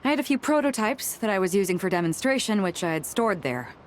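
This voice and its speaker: A woman acting a composed, shrewd, commanding woman in a video game, with a cool, self-assured and measured manner. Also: medium pitch, big pitch swings, a little hiss or hum, lightly acted. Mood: composed concern.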